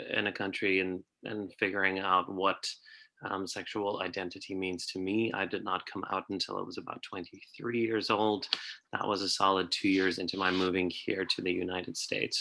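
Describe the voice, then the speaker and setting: A young man from South Africa speaking on a panel over a video call, thoughtful and filling pauses with ums. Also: low voice, pushed and bright, low-quality recording. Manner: thoughtful, frequent ums and uhs. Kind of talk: conversational panel sharing.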